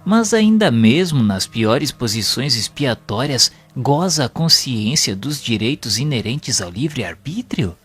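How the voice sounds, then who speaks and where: A man's voice: mid-range voice, sing-song, unhurried, hardly any pauses, fairly bright tone, husky, soft background music, heavily acted. A man with a Brazilian accent playing an earnest, reverent spirit disciple in an audio novel, formal and deferential, with an inquiring manner. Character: earnest, reverent male spirit disciple narrating his lessons. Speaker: audio drama narrator. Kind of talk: dramatized scripted narration.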